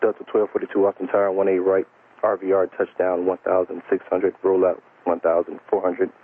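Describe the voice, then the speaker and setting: A male tower controller speaks in a low, monotone voice over a tinny aviation radio. He gives clipped, procedural instructions and reads out numbers one digit at a time. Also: fairly quick, slight background noise. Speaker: air traffic tower controller.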